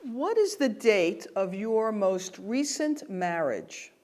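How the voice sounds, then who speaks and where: A woman playing an official interviewer, reading scripted questions in a formal, measured way. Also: mid-range voice, big pitch swings, darkish tone. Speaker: role-played citizenship interviewer.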